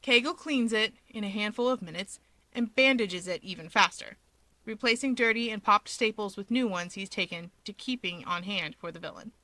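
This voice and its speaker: Woman narrator, bright crisp tone, very dry close sound, wry, conversational storytelling. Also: mid-range voice, moderate pace, no room sound, low-quality recording. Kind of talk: expressive narration of fan fiction.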